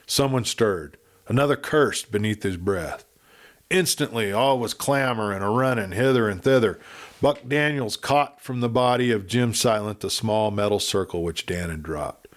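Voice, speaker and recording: A man reading a western novel aloud in a measured, dramatic storytelling manner. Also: lowish voice. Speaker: audiobook reader. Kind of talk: scripted western storybook narration.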